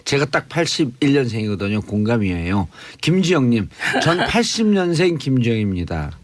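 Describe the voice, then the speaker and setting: A man's voice: mid-range voice, very animated, moderate pace, dry recording. A man hosting a radio talk show casually, reacting often and summing up what others say. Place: radio studio.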